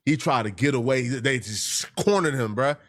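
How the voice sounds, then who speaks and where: A man's voice: mid-range voice, lively intonation, moderate pace. American male reaction host, mid-range voice, casual slang, talks directly to viewers.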